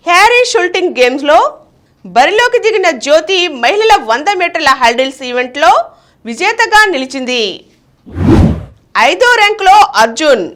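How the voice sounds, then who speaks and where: A woman reads scripted news in a high voice. Her intonation is lively and moves widely from phrase to phrase, in the measured form of a bulletin. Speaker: news reader.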